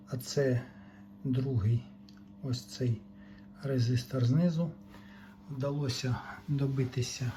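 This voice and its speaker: Slow-talking man over faint background noise. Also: mid-range voice, warm tone. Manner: matter-of-fact, talking in short fragments while working. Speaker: hobbyist repair technician presenting a demonstration. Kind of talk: unscripted technical demonstration, talking through measurements.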